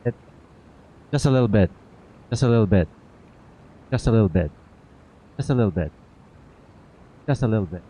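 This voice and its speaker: A man's voice: on the low side, lively intonation, moderate pace, pauses often, mellow, poor audio. A man streaming a game, reacting in casual, slangy commentary with playful heckling.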